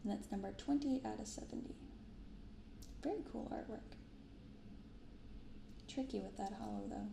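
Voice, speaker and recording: A soft-spoken woman hosting an ASMR unboxing, reading and commenting gently and without hurry. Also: mid-range voice, moderate pace, creaky, long pauses, roomy sound, noisy background. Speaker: card unboxing video host. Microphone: binaural microphone close up.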